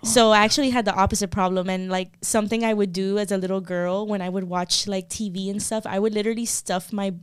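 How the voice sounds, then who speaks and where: A young woman chatting candidly on a podcast and filling her stories with "like". Her voice is smooth and clear, recorded dry and close. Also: medium pitch, fairly even intonation, quick pace, hardly any pauses, dry recording. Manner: candid storytelling, lots of "like". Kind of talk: casual chat.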